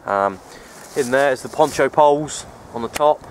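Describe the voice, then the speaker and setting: A man talks off the cuff in a mid-pitched voice. He is low-key and resigned, circling back over his points like a casual vlogger. The recording is outdoors on a handheld camera, with faint noise behind him. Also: moderate pace. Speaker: outdoor radio hobbyist vlogger. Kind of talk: casual rambling vlog narration. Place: outdoors on an open wooded hilltop. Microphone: handheld camera's built-in mic, held by speaker.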